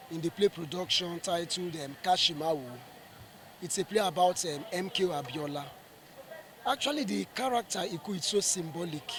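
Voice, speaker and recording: A man with a Nigerian accent, a stage actor being interviewed, explaining earnestly with emphatic, halting phrasing. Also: high-pitched.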